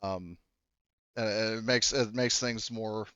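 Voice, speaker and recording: A man running a tabletop roleplaying game as game master, improvising narration and explaining rules in a halting way, thinking aloud. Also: on the low side, steady tone, sharp tone, clean tone, dry recording, muffled recording.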